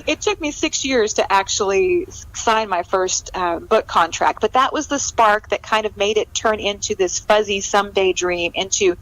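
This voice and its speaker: A woman's voice: medium pitch, moderate pace, few pauses, fairly bright tone, rough recording. A middle-aged American woman from California chatting as an interview guest in a conversational, self-deprecating way. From California, United States.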